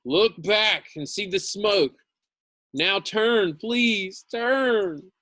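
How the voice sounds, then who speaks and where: A man's voice: high voice, drawn-out words, bright, forceful tone, no room sound, studio quality, voice pushed up, exaggerated voice. A man voicing a desperate stranded boy in a storybook, pleading urgently for rescue.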